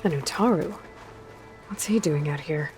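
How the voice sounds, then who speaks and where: An actress playing a determined young female hunter in a video game, calm and matter-of-fact. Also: sits low, a little slow. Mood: focused. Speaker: game protagonist. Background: game music.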